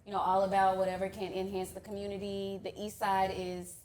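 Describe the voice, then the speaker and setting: A woman's voice: mid-range voice, completely flat delivery, a little fast, darkish tone. A woman from Fort Worth, Texas, talking casually as a panelist. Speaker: panelist, coffee business owner. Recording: echoey room with faint noise.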